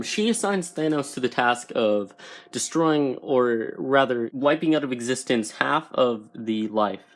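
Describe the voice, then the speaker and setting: Halting man explaining comics online. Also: medium pitch, fairly bright tone. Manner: halting, searching for words. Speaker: YouTube comics explainer host. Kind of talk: informal explainer narration.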